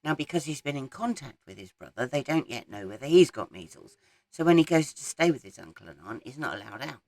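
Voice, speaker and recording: A woman, croaky from a head cold, chatting casually about her week's reading and rambling with frequent ums. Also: a bass voice, sing-song, brisk, darkish tone. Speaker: book vlogger. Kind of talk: casual reading wrap-up chat. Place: small garden shed.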